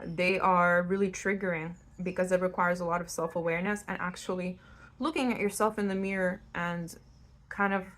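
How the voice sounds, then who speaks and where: A woman's voice: on the low side, a bit bright. A woman from Lithuania, giving an unscripted tarot reading in a blunt, conversational way, speaking straight to the listener. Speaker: tarot reader hosting her own channel. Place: indoors at home in a new-build house.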